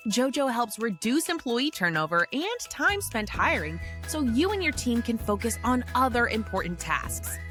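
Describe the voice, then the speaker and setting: Lady doing the sponsor ad, highish bouncy voice, music under it. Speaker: ad announcer. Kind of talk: scripted sponsor read. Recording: ad read over music.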